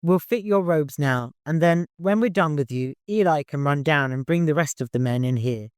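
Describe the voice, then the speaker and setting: A man voicing a bossy, motherly older seamstress in an audiobook, teasing and good-humored, brisk and respectful but firm. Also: fairly high pitch, clear, resonant tone, no room sound, clean studio sound, natural voice.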